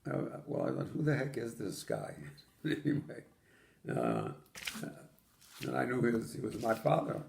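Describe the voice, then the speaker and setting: A man's voice: mid-range voice, huge pitch swings, a little slow, creaky, a little mellow, slight background noise. An older man from Poland, telling his childhood memories in an oral history interview with dry, matter-of-fact humor.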